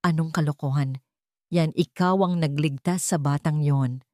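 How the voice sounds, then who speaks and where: A woman's voice: deep voice, steady tone, unhurried, dark tone, dry recording, studio quality. A woman narrator voicing an elderly household helper, motherly, concerned and protective, in an audio story. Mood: indignant.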